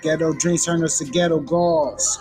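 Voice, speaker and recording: A man rapping in a flat, laid-back flow. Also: fairly high pitch, normal speed, soft background music. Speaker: rapper. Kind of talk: rapping over a hip hop beat.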